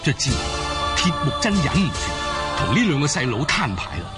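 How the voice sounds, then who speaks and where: An animated man narrates a scripted tale in Cantonese-accented speech. His pitch moves widely as he tells the story, with prominent music behind him. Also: brisk. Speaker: narrator of an audio drama.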